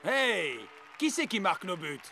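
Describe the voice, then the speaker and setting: A man's voice: high-pitched, big pitch swings, unhurried, sharp tone, soft background music, exaggerated voice. A man voicing a boastful, cocky star footballer in a cartoon dub. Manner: bragging. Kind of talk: French cartoon dubbing.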